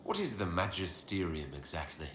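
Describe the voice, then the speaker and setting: A man's voice: deep voice, very animated, moderate pace, roomy sound, noisy background. A man playing a curious, earnest fantasy adventurer in a video game, asking things in a plain, inquisitive way. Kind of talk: video game dialogue acting.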